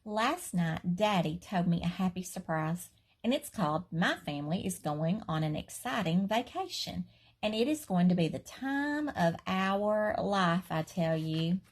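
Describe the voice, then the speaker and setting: A woman's voice: lowish voice, expressive, a little slow. A woman teacher chatting casually to children before reading a storybook aloud, in a friendly, chatty way. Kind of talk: casual intro to a read-aloud, then storybook narration.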